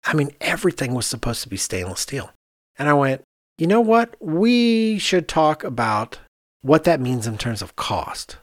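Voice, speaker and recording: A man, an architect hosting a podcast, chatting casually and telling animated anecdotes in which he quotes other people. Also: fairly high pitch, moderate pace, no echo, studio quality.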